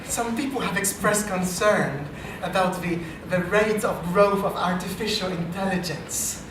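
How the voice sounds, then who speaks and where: A man with a French accent, an AI researcher hosting a live improv comedy show, talking in a dry, self-deprecating way with halting restarts. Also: high-pitched, background noise. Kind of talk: live comedy stage talk. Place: live comedy meetup venue. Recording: distant, very echoey live venue.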